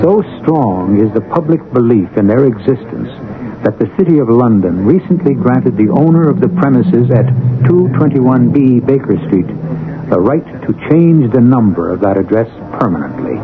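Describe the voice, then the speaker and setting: Guy hosting old radio mystery show, deliberate solemn narration, thin phone-like sound w/ music under. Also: medium pitch. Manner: formal, deliberate, portentous. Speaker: host of a radio mystery series. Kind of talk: scripted 1970s radio narration. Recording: tinny old radio broadcast over music.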